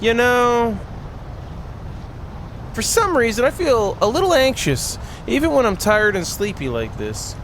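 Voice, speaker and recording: A man acts out a wistful young girl from a visual novel, anxious and sleepy. The voice sits high and speaks haltingly, with noise behind it. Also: very animated, a bit bright, heavily acted. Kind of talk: visual novel character read aloud.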